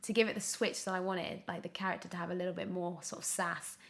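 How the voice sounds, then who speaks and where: A woman in her twenties chatting casually as an interview guest, candid and animated, often correcting herself mid-thought. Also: fairly low pitch, quick pace. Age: 20s. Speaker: guest, young actor.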